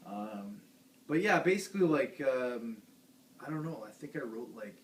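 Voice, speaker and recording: A man's voice: medium pitch, expressive, moderate pace. A man who plays guitar in a band, chatting casually in a rambling, hesitant way. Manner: rambling, hesitant, lots of fillers and restarts. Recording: echoey room, distant mic, faint hiss.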